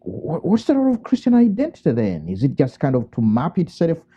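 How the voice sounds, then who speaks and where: A man from Uganda, a theologian and priest giving thoughtful interview answers, pausing and restarting and asking himself rhetorical questions. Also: a little high, wildly swooping pitch, breathy edge. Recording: muffled lo-fi call audio.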